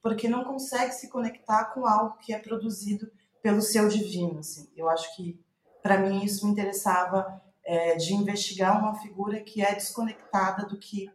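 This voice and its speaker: A woman with a Brazilian Portuguese accent in a reflective interview, thinking aloud haltingly and trailing off. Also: medium pitch, steady tone, reverberant. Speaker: playwright, podcast guest.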